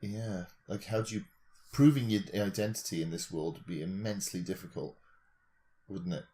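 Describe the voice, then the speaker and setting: A man's voice: low-pitched, moderate pace, warm tone. A man in a casual discussion, reasoning analytically aloud and posing rhetorical questions as a podcast co-host.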